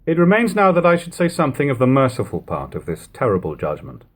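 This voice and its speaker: Husky-voiced man narrating, lively intonation. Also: mid-range voice, fast talker. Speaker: audiobook reader. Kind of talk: scripted literary narration, reading aloud. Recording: lo-fi home recording.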